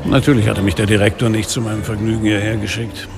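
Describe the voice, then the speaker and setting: An actor playing a weary, sardonic middle-aged police inspector, narrating a noir radio drama in a dry, laconic way. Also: male voice, fairly low pitch, level tone, quick pace, gravelly. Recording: music behind the voice.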